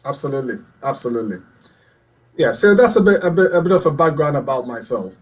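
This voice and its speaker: A man's voice: medium pitch, lively intonation, fast talker. A middle-aged man from Ghana, a public health lecturer, explaining a health condition on a radio talk show in an earnest, explanatory way with frequent ums and repeated words.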